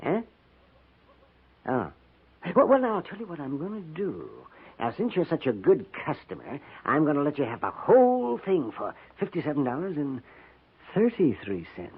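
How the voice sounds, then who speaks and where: An actor playing a fussy, stammering frontier storekeeper in a 1950s radio western. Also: male voice, a little high, huge pitch swings, moderate pace, breathy edge, full character voice. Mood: eager. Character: fussy, dithering frontier storekeeper haggling with customers. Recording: phone-like 1950s radio recording.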